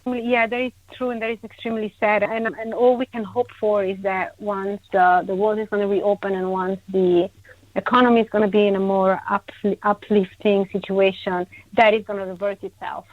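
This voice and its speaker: A woman from Italy, a guest in a conversational interview, emphatic and quick to repeat her agreement. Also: mid-range voice, little pitch movement, no echo. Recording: tinny phone-line sound, dry.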